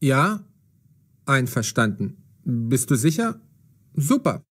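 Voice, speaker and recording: A man's voice: mid-range voice, very animated, slow pace, slightly rough, full character voice. Male police detective, stern, mocking, commanding, fair, audio drama delivery. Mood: matter-of-fact. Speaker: voice actor. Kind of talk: audio drama for language learners.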